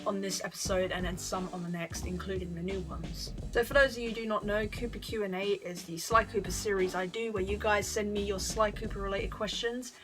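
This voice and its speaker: A woman's voice: mid-range voice, fairly even intonation, brisk, hardly any pauses. Young woman vlogger, tired, rambling, apologetic, with music playing beneath her. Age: young adult. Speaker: YouTuber answering fan questions. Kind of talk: casual vlog chat. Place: bedroom.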